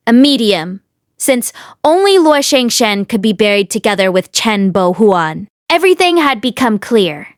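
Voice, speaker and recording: A woman narrates an audiobook in a fairly high voice. Her delivery is expressive, scripted storytelling. The sound is dry, with no room echo. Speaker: audiobook reader and host.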